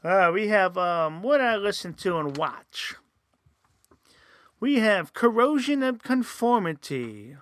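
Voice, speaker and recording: A man's voice: high-pitched, a bit bright, clear, resonant tone, no echo, studio quality. A man hosting a casual solo podcast, rambling with many fillers and restarts, his voice sometimes a little nasal. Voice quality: says he sometimes sounds nasal. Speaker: host.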